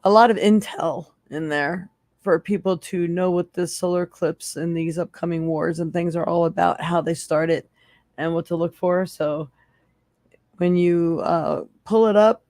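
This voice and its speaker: A woman hosting a live psychic tarot stream, hoarse from losing her voice, chatting casually with viewers and laughing often. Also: on the low side, fairly even intonation, unhurried, a little mellow, dry recording, studio quality.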